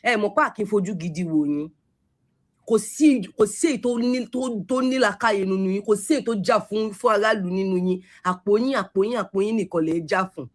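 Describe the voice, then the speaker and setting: Fast scolding Yoruba-accented woman. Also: mid-range voice. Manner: scolding, repetitive, switches between Yoruba and English. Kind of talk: angry social media rant.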